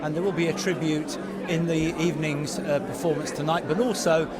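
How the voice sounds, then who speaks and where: A man with a notably high-pitched voice presents and interviews as a podcast host. His delivery is upbeat, and loud crowd chatter from a busy venue surrounds him. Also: little pitch movement, a little fast. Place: theatre venue during red carpet arrivals.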